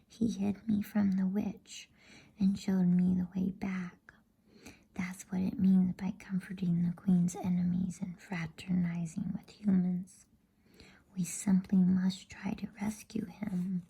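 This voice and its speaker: A woman voicing an earnest, kind-hearted young girl in a breathy, hushed ASMR storybook whisper. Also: lowish voice, level tone, slow, exaggerated voice. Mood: pleading.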